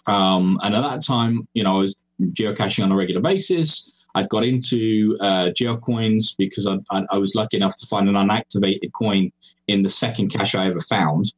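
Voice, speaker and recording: A man's voice: on the low side, fairly even intonation, moderate pace, poor audio. A man in his forties with an English accent, a podcast guest chatting casually and hesitantly, with many fillers and restarts. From England, raised in Cyprus. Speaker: podcast guest, geocoin designer. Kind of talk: casual chat over a call.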